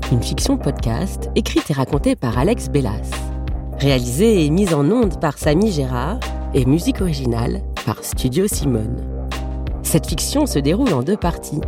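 Woman announcing a fiction podcast, low voice, lively intonation, music underneath. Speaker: announcer reading podcast credits and introduction. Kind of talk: scripted narration.

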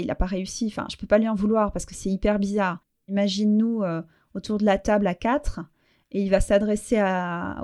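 A middle-aged French woman talks through a personal conversation, her voice mellow and soft-edged. She reflects as she goes, pausing on fillers and starting her sentences again. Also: medium pitch. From France. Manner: reflective, halting with fillers and restarts. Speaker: interview guest.

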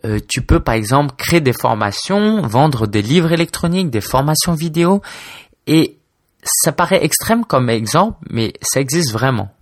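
Man, twenties, from France, casual blogger chat, hesitant with fillers, gravelly, dry close sound. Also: a little high, animated, normal speed, dry recording. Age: 20s. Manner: hesitant, many fillers and restarts; informal, addresses the listener as tu. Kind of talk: casual solo talk to camera.

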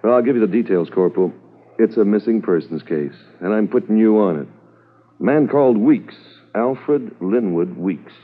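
An actor playing a brisk, authoritative police commissioner in a 1950s radio drama, methodical and official. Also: male voice, on the low side, expressive. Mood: businesslike, briefing. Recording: muffled, thin old radio recording.

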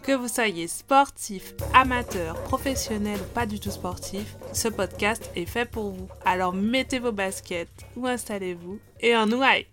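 A woman's voice: mid-range voice, measured pace, few pauses. A woman who hosts the podcast and works as a sports pharmacist, reading a scripted welcoming show intro. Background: loud music.

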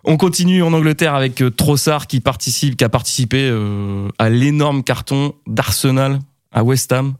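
A young man from Brittany, co-hosting a casual football podcast and talking quickly with many fillers and restarts. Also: mid-range voice, smooth, no echo, studio quality. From Brittany, France. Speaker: podcast co-host.

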